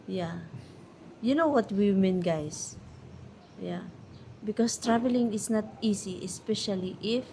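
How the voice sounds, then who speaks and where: A woman with a Filipino accent chatting casually to camera as a vlogger, pausing often to search for English words. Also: fairly high pitch, a little hiss or hum. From Philippines.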